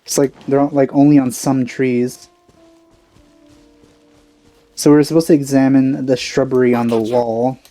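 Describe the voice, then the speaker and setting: A man with a mid-range, dark and relaxed voice talks his way through a video game. He comments casually on what he is doing, as a let's player does. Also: mid-range voice, a little slow, long pauses, soft background music.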